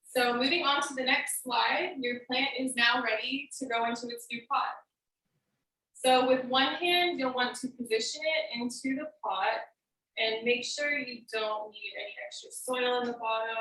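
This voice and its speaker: A woman's voice: a little high, measured pace, pushed and bright. A woman giving a prepared how-to speech as a student, explaining step by step in a casual way. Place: indoor room on a recorded video meeting. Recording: roomy, echoey, distant microphone.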